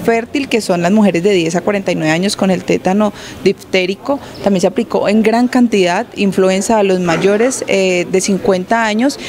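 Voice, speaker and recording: A woman's voice: medium pitch, normal speed, few pauses, crowd noise. A young woman, a hospital coordinator giving an on-site news interview with a handheld mic in an outdoor courtyard, explaining with hesitant fillers. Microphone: handheld station mic.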